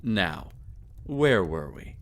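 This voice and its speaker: A man's voice: deep voice, very animated, drawn-out words, full character voice. A man playing a suave, sadistic vampire lord in a roleplay audio, speaking in a theatrical, courtly and teasing way with seductive menace. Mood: menacing, sensual. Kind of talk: scripted roleplay audio, intimate dramatic monologue.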